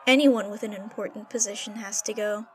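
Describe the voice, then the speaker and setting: An actress playing a kindly, motherly head maid of a castle in an audio drama, speaking gently. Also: mid-range voice, fairly even intonation, a little fast, a little hiss or hum, natural voice. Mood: gentle regret.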